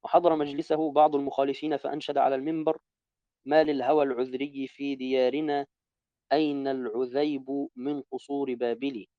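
A man reading a classical Arabic text aloud to a teacher in a steady, even recitation, correcting his own slips as he goes. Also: medium pitch, a little fast, no room sound, dull audio.

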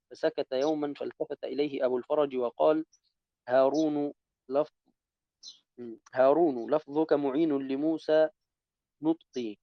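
A man reading a classical Arabic text aloud to a teacher in a steady, even recitation, correcting his own slips as he goes. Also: fairly high pitch, gravelly, no echo, lo-fi sound.

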